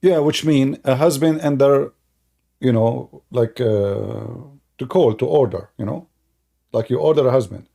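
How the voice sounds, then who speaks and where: Mellow-voiced man telling a mocking story. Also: mid-range voice, measured pace, vocal fry. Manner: mocking, repeats phrases for emphasis. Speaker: online commentator and host. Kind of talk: informal mocking storytelling commentary to viewers.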